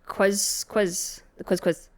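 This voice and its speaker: A woman's voice: medium pitch, little pitch movement, slow pace. A woman co-hosting a podcast, talking casually in a group. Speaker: podcast co-host.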